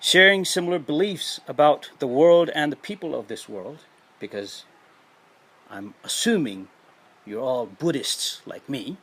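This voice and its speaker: A man from Nepal with a Nepali accent and a husky voice, giving an earnest motivational speech with emphatic, deliberate phrasing and pauses. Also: on the high side, fairly bright tone. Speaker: education activist, motivational speaker.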